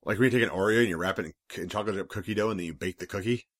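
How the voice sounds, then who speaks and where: A soft-spoken man in his thirties co-hosting a casual podcast chat. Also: fairly low pitch, rapid-fire. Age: 30s. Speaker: podcast co-host.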